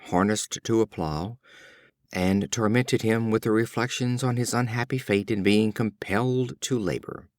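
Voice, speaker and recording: A man narrating short fables as an audiobook reader, in a measured, expressive storytelling style. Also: lowish voice, dry recording, studio quality.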